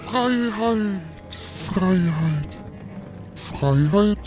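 A man voicing an excitable, forgetful ghost just freed from a bottle, exclaiming and repeating phrases in wonder. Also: high-pitched, very slow, music in the background, voice pushed up, heavily acted. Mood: jubilant.

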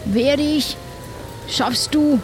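A woman's voice: high-pitched, very slow, over music, rough recording. A woman chatting casually in character as a player in an improvised tabletop game.

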